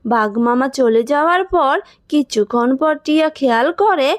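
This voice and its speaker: A woman's voice: high-pitched, moderate pace, dry recording, clean studio sound. A woman storyteller reading scripted narration for a children's cartoon.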